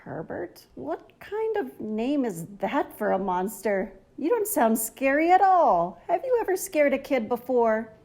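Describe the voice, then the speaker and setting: Lady doing a picky little kid, boy in a storybook, blunt, asks questions, high voice. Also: very animated, a little slow, few pauses, darkish tone, full character voice. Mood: skeptical. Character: picky, forthright young boy who needs a monster.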